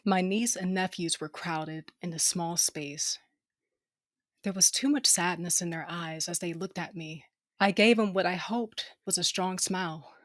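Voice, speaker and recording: A woman plays a tough, wounded smuggler heroine in an audio drama. Her voice is fairly low, intimate and sardonic, recorded dry. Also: no room sound, close to an everyday voice. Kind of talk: first-person audio drama narration with dialogue.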